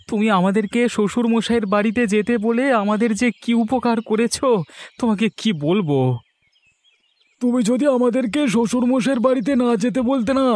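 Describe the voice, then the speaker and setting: A man's voice: high voice, darkish tone, dry recording, close to an everyday voice. A man voicing a sly, henpecked young village husband in a folk-tale cartoon, with an eager, exaggerated delivery. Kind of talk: cartoon voice acting.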